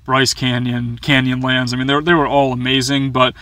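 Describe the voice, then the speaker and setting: Young male vlogger in a small van, bright voice and a dry close sound, chatty with many fillers and restarts. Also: mid-range voice, little pitch movement, moderate pace, no room sound. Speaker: van-life vlogger answering viewer questions. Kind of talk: casual Q&A chat. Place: inside a small camper van.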